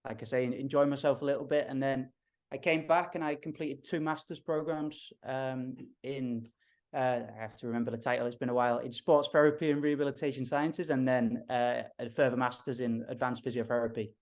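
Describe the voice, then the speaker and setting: A man's voice: medium pitch, fairly quick. Male guest, thirties, Liverpool accent, friendly and easygoing. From Liverpool, England. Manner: friendly, relaxed storytelling. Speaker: strength coach, physio. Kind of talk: interview guest.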